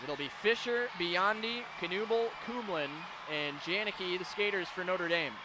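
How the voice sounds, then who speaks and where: A man calling hockey play-by-play on radio, firing off clipped calls of each pass and shot and erupting into shouted goal calls. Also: high voice, moderate pace, background noise. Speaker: play-by-play announcer.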